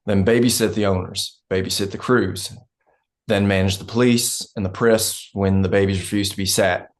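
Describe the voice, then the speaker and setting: A man's voice: deep voice, steady tone, normal speed, natural voice. A man playing a blunt, world-weary oil company land man in a script read. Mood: matter-of-fact. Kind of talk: dramatic script table read, monologue.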